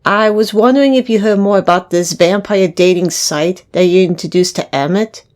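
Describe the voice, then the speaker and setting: A woman's voice: lowish voice, moderate pace, darkish tone, close to an everyday voice. A woman playing a casual, inquisitive young friend on a phone call in an audio drama. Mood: curious, puzzled.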